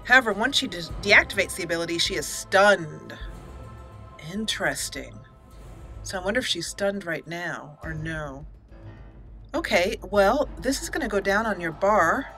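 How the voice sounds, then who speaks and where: Female let's play host: bright, forceful voice, chatty and casual, faint music underneath. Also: mid-range voice, expressive, normal speed. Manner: chatty, talks aloud to game characters. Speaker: gaming channel host.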